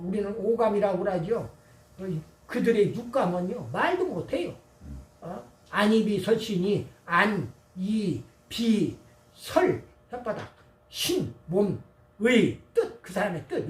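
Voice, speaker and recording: A middle-aged or older man preaching as a pastor, emphatic and folksy, telling personal anecdotes. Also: sits high. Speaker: pastor and former seminary professor. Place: church service. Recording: echoey church, distant mic, faint noise.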